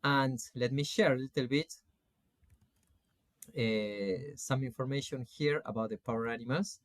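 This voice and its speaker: A man's voice: medium pitch, unhurried, dry recording. Argentine man teaching, close dry sound. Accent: Argentine Spanish. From Salta, Argentina. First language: Spanish. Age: 40s. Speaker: spiritual coach teaching an online course. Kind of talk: informal online lecture with slides.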